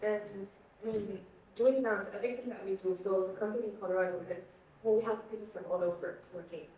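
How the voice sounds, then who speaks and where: A woman's voice: mid-range voice, fairly even intonation, fairly quick, dark tone, a little hiss or hum. A young woman from Egypt answering questions on a panel, earnest and searching for words, often repeating herself. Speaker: guest speaker. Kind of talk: panel conversation. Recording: echoey hall, mic at a distance.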